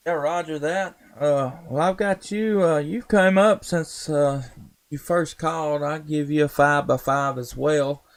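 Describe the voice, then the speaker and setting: A man's voice: a little high, steady tone, a little slow. A man chatting casually and in a folksy, laid-back way as an amateur radio operator on a live stream. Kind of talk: casual ham radio chat.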